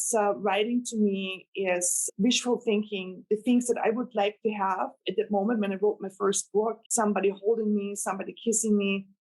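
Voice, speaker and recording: A woman's voice: medium pitch, a little mellow, low-quality recording. Guest novelist: woman, Austrian accent, German native, earnest and rambling, talking casually. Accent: German-speaking, Austrian. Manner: earnest, rambling, laughs with delight. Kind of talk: casual interview chat.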